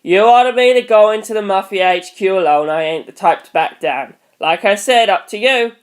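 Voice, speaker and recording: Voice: medium pitch, moderate pace, clear, resonant tone, pitched above the performer's own voice, natural voice. A reader voicing a stubborn, blunt, tough-talking fighter who scolds, in an action story. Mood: defiant.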